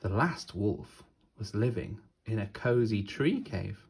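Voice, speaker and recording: A man narrating a children's storybook like a teacher reading aloud, deliberately and with dramatic pauses. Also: on the low side, lively intonation, gravelly, a little mellow, breathy edge.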